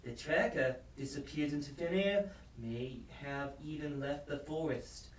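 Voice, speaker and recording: Echoey, higher-voiced actor as a pushy manager. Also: male voice, a little slow, lightly acted. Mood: pushy, brisk. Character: pushy male TV station manager. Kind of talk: audio drama acting. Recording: echoey, distant mic with hiss.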